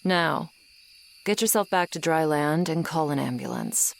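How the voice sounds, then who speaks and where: A woman's voice: low voice, creaky, slight background noise. A woman playing an ageless tsundere swamp monster girl, sardonic and grudgingly kind, in an ASMR roleplay. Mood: exasperated, scolding. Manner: slow, sardonic, haughty but softening. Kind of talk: ASMR roleplay acting, addressed to the listener. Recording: night swamp ambience with insects behind. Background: crickets and insect ambience.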